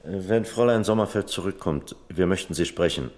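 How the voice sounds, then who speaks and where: An actor playing an authoritative police captain in a radio crime drama, asking pointed, official questions. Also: male voice, deep voice, normal speed, darkish tone, big-room sound, natural voice. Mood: probing.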